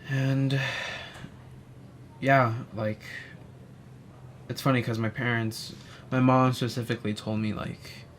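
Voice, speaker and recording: A young man, telling a personal story in a reflective, soft-spoken way with many pauses and "um"s. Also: mid-range voice, fairly even intonation. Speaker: interviewee. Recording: faint ambient noise.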